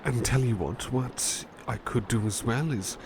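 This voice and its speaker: A man playing a devoted, gentle butler in a soothing ASMR roleplay, hesitant and polite, fussing and repeating himself reassuringly. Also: medium pitch, unhurried, noisy background, close to an everyday voice. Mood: attentive, reassuring.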